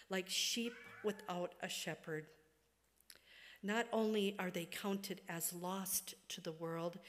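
A middle-aged or older woman preaching a sermon from a church pulpit, phrase by deliberate phrase, letting sentence ends trail away. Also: medium pitch. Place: church sanctuary, at a wooden pulpit. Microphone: gooseneck pulpit microphone. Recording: long reverberant church hall.

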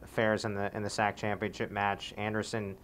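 An interviewer asking postgame questions off camera, friendly and a bit halting with fillers. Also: deep voice, little pitch movement, fairly bright tone, a little hiss or hum. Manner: friendly, with fillers and repeated words. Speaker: sports interviewer.

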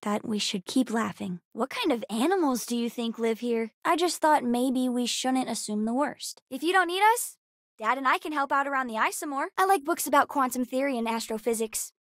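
A woman voicing a bright, bookish, sassy young girl in a video game, earnest, chatty and precocious. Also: high voice, expressive, moderate pace, no room sound, studio quality. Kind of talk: video game character dialogue.

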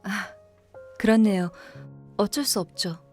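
An actress playing a polite, composed and quietly cheeky young new office employee in a Korean audio drama. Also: female voice, medium pitch, unhurried, soft background music, close to an everyday voice.

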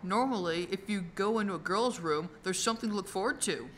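A woman's voice: mid-range voice, brisk, a little hiss or hum, natural voice. A woman playing a casual, teasing young anime character in an amateur comic dub. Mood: musing.

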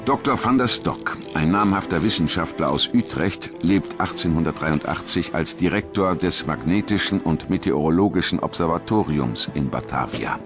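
A man's voice: sits low, moderate pace, few pauses. A man reading scripted narration as the narrator of a documentary. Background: music.

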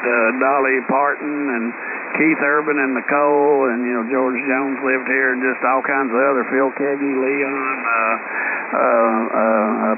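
Male radio hobbyist from the US, mid-pitched, folksy casual chat on a static-filled narrow signal. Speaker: amateur radio operator. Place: received over shortwave radio. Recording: noisy, hissy narrow-band ham radio signal.

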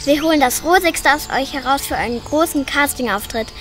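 A woman acting in a children's radio play in a lively, eager way, with music behind her. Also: sits high, a little fast, close to an everyday voice. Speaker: amateur radio play actor.

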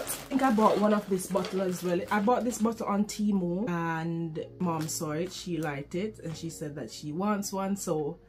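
A woman's voice: fairly low pitch, darkish tone. A young woman chatting candidly in a haul vlog, rambling through asides as she unboxes things in her bedroom. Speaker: vlogger. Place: bedroom, indoors.

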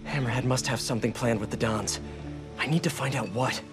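A man's voice: medium pitch, a little fast, music in the background, lightly acted. An actor playing a quippy, earnest young male superhero in a video game, talking in a wry, joking inner monologue over a game score. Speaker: game hero.

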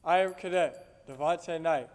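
A teenage male cadet from Illinois speaking slowly, loudly and deliberately on stage. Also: on the high side, clean tone. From Illinois, USA. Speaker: military academy cadet. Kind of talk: formal memorized speech. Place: dark auditorium stage. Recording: echoey hall, distant mic.

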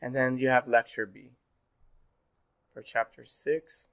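A man in his thirties, a little sick and hoarse, giving a pre-recorded online class as instructor, relaxed and full of fillers. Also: medium pitch, unhurried. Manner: relaxed, filler-heavy, repeats "go ahead". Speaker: university instructor. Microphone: webcam in corner of screen recording.

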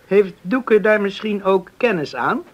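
An actor playing a sturdy, eager young farmer's son in a radio play, earnest and plain-spoken. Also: male voice, sits high, expressive, unhurried. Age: young adult. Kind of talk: 1980s Dutch radio play acting.

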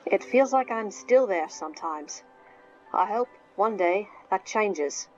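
An actress playing a serious, caring teenage team captain in a visual novel game, earnest and reassuring. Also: female voice, medium pitch, expressive, slow pace, a little mellow, muffled recording, soft background music. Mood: somber.

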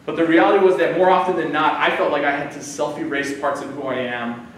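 Man, thirties, guest preacher, conversational sermon, slightly high voice, echoey church hall, mic far off. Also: fairly even intonation, brisk, slight background noise. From United States. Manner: conversational preaching, gesturing with his hands. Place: church sanctuary, indoors. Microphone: far camera.

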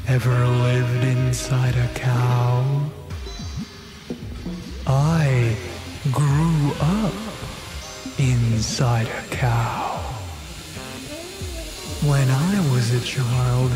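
A man's voice: mid-range voice, flat delivery, music in the background. A man playing a deranged, gleeful surgeon who hosts a macabre show in theatrical, darkly comedic audio theatre. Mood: menacing, whimsical. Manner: theatrical, slow, sinister showmanship.

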